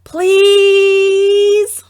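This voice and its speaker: A woman's voice: extremely high pitch, very slow, pitched above the performer's own voice, full character voice. A woman voicing an eager, pleading little farm girl in a fairy tale audiobook. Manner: pleading, excitable.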